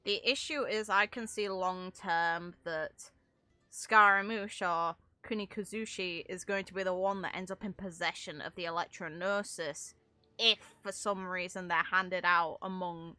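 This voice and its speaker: Woman, casual game lore commentator, low-ish pitch, very bright voice, dry close sound, opinionated. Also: fairly even intonation, measured pace, no echo, soft background music. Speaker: content creator commentating on a game.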